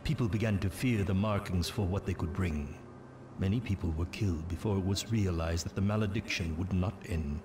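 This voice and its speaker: An actor playing a solemn, portentous narrator of dark ancient legends in a video game, telling them as dramatic scripted storytelling. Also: male voice, sits low, brisk, background noise, close to an everyday voice. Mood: grave, ominous.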